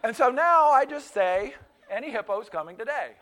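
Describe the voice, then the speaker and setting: High-voiced pastor in his fifties. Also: animated, normal speed, sharp tone, a faint crowd. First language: Pennsylvania Dutch. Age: 50s. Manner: conversational storytelling with frequent restarts and stammered repeats. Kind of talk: sermon.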